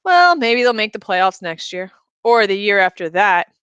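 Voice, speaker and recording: A woman's voice: medium pitch, sing-song, moderate pace, a bit bright, smooth, dry recording. A woman playing a detached, businesslike surgeon in a story, talking in a clipped, matter-of-fact way. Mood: casual, detached.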